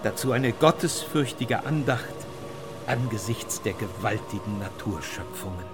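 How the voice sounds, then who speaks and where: An actor playing a reverent travel writer, reading a measured travelogue in a radio play. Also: male voice, lowish voice, animated, loud music behind the voice. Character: reverent, admiring travel writer of the imperial entourage.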